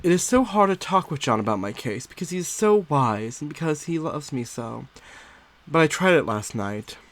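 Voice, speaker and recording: Man acting an anxious young wife, animated narration. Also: fairly high pitch, moderate pace. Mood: uneasy, hushed. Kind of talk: dramatic first-person literary narration.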